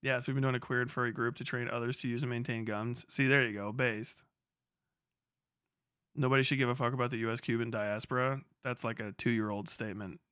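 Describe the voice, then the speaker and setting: A man's voice: medium pitch, flat delivery, a little fast, pushed and bright, smooth, dry recording, studio quality. A man hosting a livestream, ranting casually and reading chat with profane sarcasm, often acting out mock exchanges. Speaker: livestream host.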